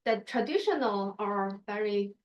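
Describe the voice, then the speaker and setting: A woman's voice: mid-range voice, a little slow. A woman moderating a panel and introducing the speakers informally. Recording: dull lo-fi sound.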